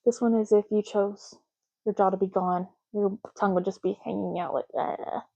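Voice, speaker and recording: Mellow, soft-spoken ASMR woman, muffled recording. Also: medium pitch, fairly even intonation, gravelly. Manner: unhurried, rambling, talking intimately to the listener. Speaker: ASMR roleplay host.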